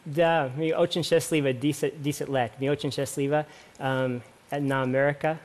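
A man in his forties with an American accent, English native, answering slowly in broken Russian with many fillers as a guest on a studio dating show. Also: on the high side, level tone. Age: 40s. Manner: hesitant, many fillers, short replies. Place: large television studio.

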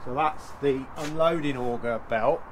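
A man's voice: medium pitch, noisy background. Farmer man explaining his combine. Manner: plain, practical, halting restarts. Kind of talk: casual explaining. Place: in a combine cab and outdoors in a farmyard.

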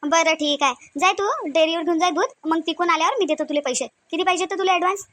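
A woman voicing a sharp-tongued, middle-aged village mother-in-law in a comic cartoon, complaining and scolding in a Varhadi Vidarbha accent. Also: extremely high pitch, fast. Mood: brisk. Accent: Varhadi Marathi of Vidarbha. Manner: complaining, scolding, rhetorical questions.

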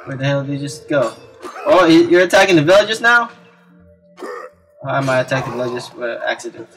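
A man's voice: medium pitch, expressive, fairly quick, a bit bright. A man giving casual gameplay commentary, exclaiming and reacting as he talks to himself. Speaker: let's play gamer. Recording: game music behind the voice. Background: video game music.

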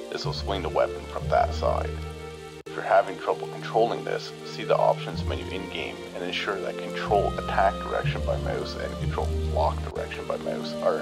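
A very deep, gravelly combat instructor gives stolid, monotone tutorial guidance in a video game. Music and rain play clearly behind the voice. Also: male voice, exaggerated voice. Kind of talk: scripted tutorial narration.

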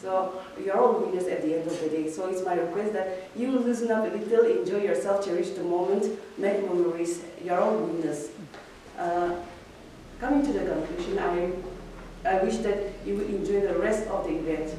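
Mellow Northeast Indian woman in an echoey hall. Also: lowish voice, moderate pace, distant mic. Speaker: guest speaker, youth and sports officer. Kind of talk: informal motivational speech. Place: echoey indoor hall, on a stage. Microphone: microphone on a stand. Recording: very echoey hall, off-mic, faint noise.

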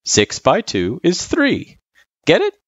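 A storyteller plays an easygoing guy in a story reading, tutoring with patience. His speech is casual and slangy, with lively swings in pitch. The recording is dry and slightly dull. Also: slow, no echo, heavily acted. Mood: patient, teasing.

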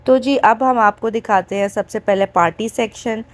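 A woman's voice: a little high, normal speed. A woman giving a casual, chatty vlog voice-over of a shop tour, talking directly to viewers and mixing in English words. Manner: mixes English words into speech. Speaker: shopping vlogger.

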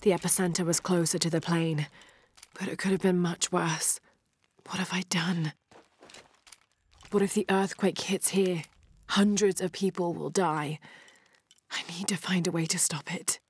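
A woman's voice: fairly low pitch, fairly even intonation, a little slow, husky. An actress playing a determined, troubled young woman adventurer in a video game, speaking in an earnest, naturalistic way. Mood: anxious, guilty.

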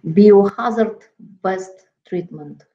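A middle-aged woman with a Russian accent, giving a lecture over a video call from an office and often pausing to search for words. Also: medium pitch. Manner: halting, searching for words with many fillers. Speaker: guest lecturer. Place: office with framed certificates on the wall. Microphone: laptop webcam on a video call. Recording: dull lo-fi video call audio.

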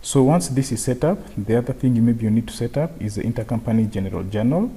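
A man presenting an unscripted software tutorial, explaining step by step and often repeating his phrases. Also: mid-range voice, lively intonation, quick pace, slightly rough. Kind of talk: unscripted screen-share tutorial.

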